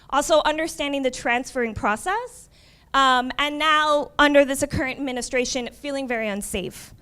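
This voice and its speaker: A woman's voice: on the high side, fairly bright tone, clear, resonant tone. A young woman from Miami, a community college librarian, giving a conversational conference presentation with wry asides. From Miami, USA.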